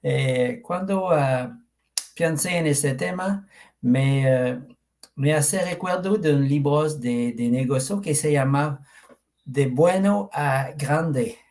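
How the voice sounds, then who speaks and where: A man from Quebec whose first language is French, speaking with a French Canadian accent and searching haltingly for words on a video call. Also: a little high, darkish tone. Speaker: participant. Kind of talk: video call self-introduction.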